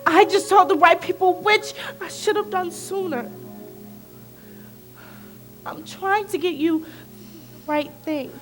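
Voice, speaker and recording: Woman playing an earnest, very high-voiced teenage girl. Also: takes it slowly, long pauses. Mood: earnest, urging. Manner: imploring, emphatic, dramatic. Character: earnest, protective teenage best friend, a girl who survived similar hurt. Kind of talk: emotional stage play acting. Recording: faint music behind the voice.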